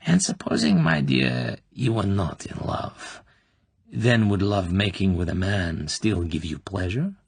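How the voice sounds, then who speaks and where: A cruel, falsely motherly Soviet colonel, put on by a man reading an audiobook, coaxing in an oily, smooth way. The voice is deep and gravelly with a husky, breathy edge, sometimes sinking to a whisper. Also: expressive, a little slow, dry recording, heavily acted. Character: cruel middle-aged female Soviet colonel interrogator.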